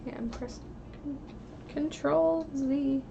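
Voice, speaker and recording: A woman streamer with a noticeably creaky voice chats casually with her viewers. She rambles and thinks out loud in an informal way, with some steady noise behind her. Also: high-pitched, slow, muffled recording. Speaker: live streamer drawing digital art and chatting with viewers. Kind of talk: casual livestream chat.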